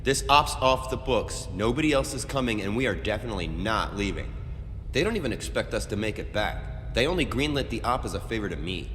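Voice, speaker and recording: Casting: male actor as gruff, terse military squad leader for a sci-fi comic dub, lowish voice, noisy reverberant sound. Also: expressive, fast talker, a bit bright. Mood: stern, grim. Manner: barking urgent orders, terse. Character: gruff, commanding armed squad leader and security agent. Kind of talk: dramatic comic dub voice acting. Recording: noisy, long reverb and background ambience.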